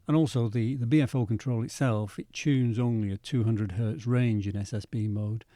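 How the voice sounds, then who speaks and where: A man narrating an instructional equipment demonstration in a measured, methodical way. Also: mid-range voice, steady tone, dark tone, no room sound, studio quality. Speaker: presenter demonstrating vintage radio equipment.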